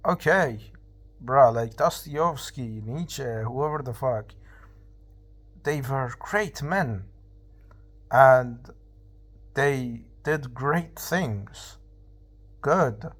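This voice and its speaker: A man in his twenties from Iran, speaking English, rants bluntly and profanely in a casual vlog, pausing and restarting often. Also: mid-range voice, animated. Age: 20s. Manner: halting, many fillers and restarts. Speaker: vlogger.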